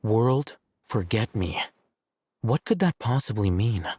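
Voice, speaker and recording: A man voicing a calm, analytical young scholar in a video game, speaking in a composed, formal, detached manner. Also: fairly low pitch, takes it slowly, a little hiss or hum. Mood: cool, analytical. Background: soft game music.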